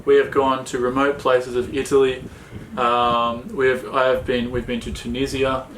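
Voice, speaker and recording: An Australian man co-hosting a sailing chat, talking in a relaxed, plain way with many fillers. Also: medium pitch, moderate pace, reverberant, slight background noise, poor audio. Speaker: sailing vlog co-host. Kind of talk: casual Q&A chat.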